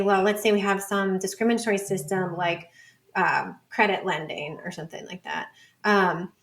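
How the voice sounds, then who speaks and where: A woman guest in a podcast conversation, with noticeable creak in an average-pitched voice. She explains her field informally, padding her points with "sort of", "like" and "you know". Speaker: interview guest, data researcher and book author. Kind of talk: conversational podcast interview answers.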